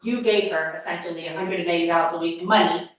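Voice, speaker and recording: A woman's voice: fairly low pitch, steady tone, fast. Woman cross-examining in a very echoey courtroom. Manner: pointed, leading questions. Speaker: prosecutor.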